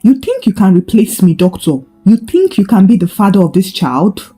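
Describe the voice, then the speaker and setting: A woman's voice: medium pitch, sing-song, normal speed, warm tone, breathy edge, soft background music. A woman storyteller voicing an embittered husband who feels betrayed, in a dramatised folktale. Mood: accusing.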